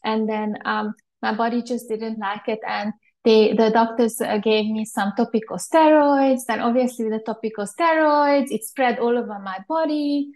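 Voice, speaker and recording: A woman's voice: a little high, normal speed, darkish tone. A woman in her forties from Hungary, a guest in a video-call interview, talking in a chatty way with many fillers and restarts. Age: 40s. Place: bright home room with shelves.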